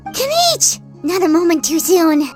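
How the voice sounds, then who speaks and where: A tiny, cheerful fairy sidekick from a video game. The voice is extremely high and childlike, bouncing up and down with cutesy energy over music. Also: female voice, unhurried, exaggerated voice. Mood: chirpy. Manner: chirpy, cutesy, expressive. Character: small cheerful childlike floating fairy companion, talking in third person. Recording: music behind the voice.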